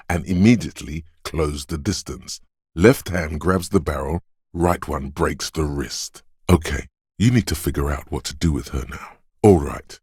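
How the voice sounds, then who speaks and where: A man voicing an inner voice of physical instinct in a video game, advising and narrating in a deliberate, precise, instructing way. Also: a bass voice, a little mellow, husky, dry recording, studio quality, heavily acted. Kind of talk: video game voice-over, second-person narration.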